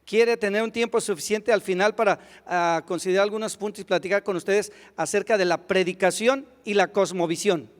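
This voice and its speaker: Man, high voice, quick hesitant interpreting, echoing hall. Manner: quick, with hesitant fillers and restarts. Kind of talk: consecutive interpretation of a lecture. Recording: long hall reverb.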